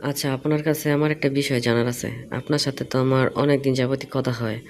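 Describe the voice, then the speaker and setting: Fast-talking man, probing, on a call. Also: medium pitch, fairly even intonation. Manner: probing, questioning at length. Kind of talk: casual phone conversation. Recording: phone call recording with faint noise.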